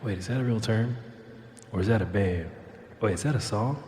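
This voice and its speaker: Man playing echoey, low-voiced wisecracking cyborg. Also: moderate pace, over loud music, natural voice. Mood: dazed, flippant. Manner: rambling, flippant first-person narration. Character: wisecracking male cyborg with a robotic tail, dazed and flippant. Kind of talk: audio drama acting and narration. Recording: echoey, long reverb, noisy background.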